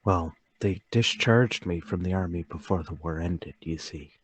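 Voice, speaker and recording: A man's voice: low voice, unhurried, husky, no room sound. An actor playing a meek, troubled travelling salesman, hesitant and deferential, in a table read of a period murder mystery. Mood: haunted, confessing.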